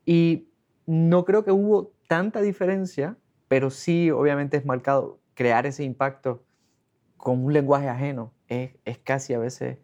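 A man's voice: mid-range voice, fairly even intonation, unhurried, darkish tone. Male interviewer, casual, rambling questions, muffled lo-fi audio. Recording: dull lo-fi sound.

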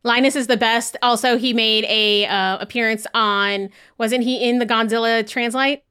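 A woman's voice: fairly high pitch, fairly even intonation, moderate pace, bright, forceful tone, clean tone. A woman hosting a podcast, chatting in an upbeat way with many fillers. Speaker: podcast host. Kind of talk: casual chat.

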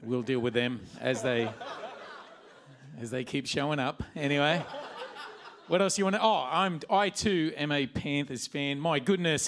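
A man's voice: fairly high pitch, a bit bright. A man who is a father, speaking as a guest at a church service, chatty and jokey with lots of ums. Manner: chatty and jokey, full of fillers. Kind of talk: personal testimony.

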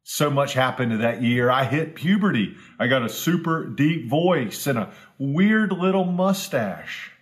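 A pastor in his forties speaks slowly and deliberately, taking his time over each phrase. His voice sits at an ordinary middle pitch for a man. He is earnest and homespun, and his reflection builds to emphatic repetition. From Southwest Virginia, US. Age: 40s. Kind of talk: reflective devotional talk.

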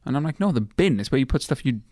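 A man's voice: mid-range voice, very animated, fast, a little mellow. A man hosting a podcast interview, making dry jokes in casual chat. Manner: dry, joking. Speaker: podcast host.

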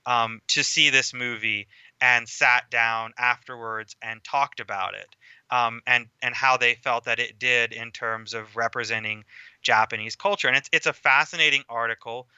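A man speaking as a podcast guest in casual film discussion, talking in a measured, explanatory way at length. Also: mid-range voice, fairly even intonation, pushed and bright, smooth, no room sound, muffled recording.

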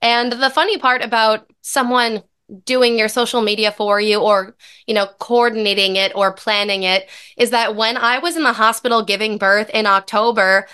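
Bright, raspy-voiced woman podcast host. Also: fairly high pitch, fairly even intonation, moderate pace. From American Midwest. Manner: upbeat, chatty. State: raspy, recovering from lost voice. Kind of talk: casual podcast chat.

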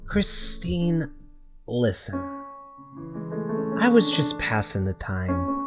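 A man's voice: mid-range voice, sing-song, very slow, over loud music. A man playing a stressed, defensive boyfriend in an audio drama, stammering and pleading in casual, naturalistic speech. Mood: defensive, placating.

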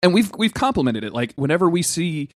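A man co-hosting a podcast, laid-back and jokey, swearing casually in relaxed chat. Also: on the high side, a little fast, no room sound, studio quality. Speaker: podcast co-host.

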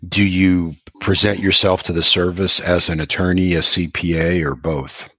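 A man hosting a podcast interview, friendly and measured, asking questions with occasional fillers. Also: low-pitched, steady tone, bright, forceful tone, no room sound. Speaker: podcast host.

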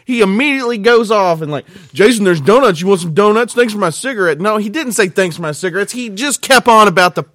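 Man, fairly high voice, very animated, casual storytelling, ranting delivery, dry recording. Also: fast, few pauses, no echo. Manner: animated ranting, acts out quoted exchanges.

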